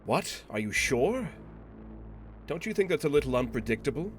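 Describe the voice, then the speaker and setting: A man playing a formal, cautious subordinate agent in an audio drama, talking in a measured, questioning manner. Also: mid-range voice, big pitch swings, a little hiss or hum, lightly acted. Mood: questioning, uneasy. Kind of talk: scripted audio drama roleplay.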